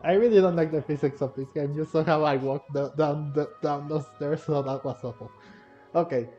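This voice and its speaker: A man commentates casually on his gameplay. His voice is dark and relaxed at a middle pitch, and he rambles haltingly, repeating words. The sound is somewhat muffled and lo-fi, with faint music behind. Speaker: gaming video creator. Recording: dull, lo-fi home microphone. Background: faint game music.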